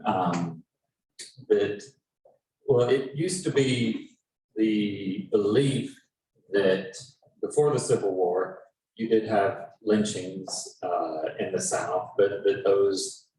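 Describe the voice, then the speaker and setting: Very deep-voiced man lecturing, echoey room. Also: a little mellow. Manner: explanatory, halting with many pauses. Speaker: panelist, historian. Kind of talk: informal history lecture to an audience. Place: echoey event room at a public panel. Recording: echoey room, distant mic, faint noise.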